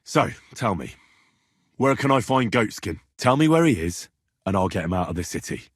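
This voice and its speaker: A man's voice: on the low side, sing-song, moderate pace, breathy edge, dry recording, studio quality. A man playing an earnest, blunt, streetwise young adventurer in a medieval video game, speaking in plain, colloquial British phrasing. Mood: probing, persuasive. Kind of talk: video game dialogue voice acting.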